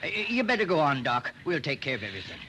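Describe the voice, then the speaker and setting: A man's voice: mid-range voice, huge pitch swings, fast, sharp tone. An actor playing a kindly rustic local man in a 1940s radio drama, stammering and hesitant, folksy in his phrasing. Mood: awkward, gentle. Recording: noisy old radio recording.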